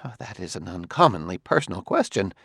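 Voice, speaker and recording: A man voicing a nervous, fussy aging male sociologist who shrinks from company, in a high, hesitant way. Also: big pitch swings, fairly quick, creaky, a bit bright, breathy edge, no room sound, clean studio sound, exaggerated voice. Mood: uneasy.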